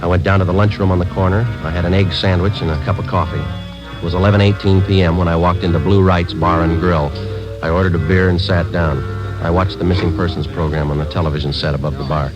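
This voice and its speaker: Dull old radio recording with loud music. A stoic police sergeant played by a man narrates in a very low, deadpan voice. His delivery is clipped and businesslike, in classic police drama style. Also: fast talker, close to an everyday voice. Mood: matter-of-fact. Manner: deadpan, matter-of-fact. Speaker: narrator and lead detective.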